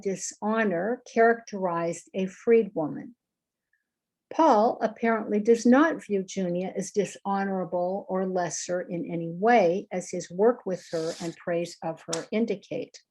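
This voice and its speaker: A woman scholar reading an academic conference paper aloud in a measured, careful way. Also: mid-range voice, a little mellow, poor audio.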